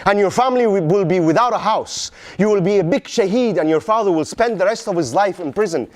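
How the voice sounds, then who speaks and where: A man performing a dramatic monologue as an anguished middle-aged Palestinian father, in Arabic-accented English, with emphatic repetition and pointed questions. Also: sits high. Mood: anguished, scolding. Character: anguished Palestinian father pleading with his vengeful son.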